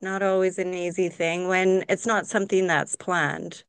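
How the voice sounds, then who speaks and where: A woman's voice: fairly low pitch, flat delivery, moderate pace, smooth, dry recording. A woman co-hosting a casual podcast, answering in brief, understated remarks. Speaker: podcast co-host.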